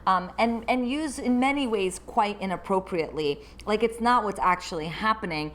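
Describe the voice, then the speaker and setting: A woman's voice: mid-range voice, normal speed. A woman therapist who grew up in the United States, hosting her own solo podcast and reflecting aloud in an unscripted way.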